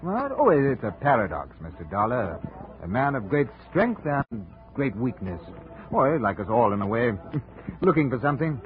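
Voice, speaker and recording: A muffled vintage radio recording of a man acting as a British colonial company man. He is chatty, homesick and fussy, his pitch swinging widely as he rambles and hesitates through a radio play. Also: big pitch swings, moderate pace, faint music behind, natural voice. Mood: musing. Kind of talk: old-time radio drama acting.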